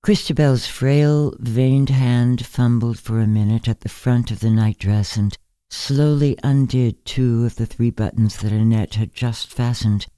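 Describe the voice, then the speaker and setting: A man narrating a literary short story in a measured, gentle, deliberate way. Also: mid-range voice, fairly even intonation, smooth, no room sound. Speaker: narrator, fiction reader.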